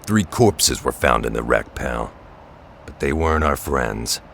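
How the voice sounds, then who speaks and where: A man playing a gruff, cynical middle-aged mutant brawler in an audio drama, blunt and growling. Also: rumbling low, lively intonation, unhurried, a bit bright, slight background noise, heavily acted. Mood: grim, gruff. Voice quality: gravelly. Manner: blunt, growling, street-tough.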